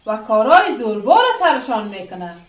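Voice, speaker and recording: A woman playing an earnest, gently firm village schoolteacher who explains things didactically in a radio drama. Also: on the high side, sing-song. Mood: explaining. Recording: echoey room, distant from mic.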